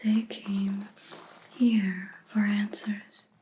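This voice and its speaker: A woman playing a stern, vengeful vampire hunter in a whispered ASMR roleplay, speaking in a breathy whisper with deliberate, accusing pauses. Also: mid-range voice, flat delivery, warm tone, slight background noise. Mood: somber, grieving.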